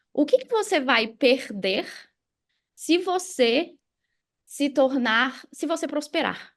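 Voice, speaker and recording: A woman with a Brazilian accent telling a personal story casually as a podcast guest, conversational and engaging listeners directly. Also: high voice. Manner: conversational, engages listeners with questions and tag words.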